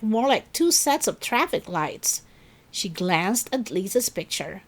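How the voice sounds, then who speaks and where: A woman hosting and narrating a children's story in an expressive, deliberate read-aloud style. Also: mid-range voice. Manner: slow, deliberate, animated delivery for children. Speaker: story reader and channel host.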